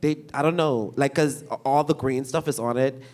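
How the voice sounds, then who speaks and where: Halting man asking a question. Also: mid-range voice, fairly even intonation, darkish tone. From Bahamas. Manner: halting, full of restarts and fillers. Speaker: audience member asking questions. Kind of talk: audience question at a panel. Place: university lecture hall.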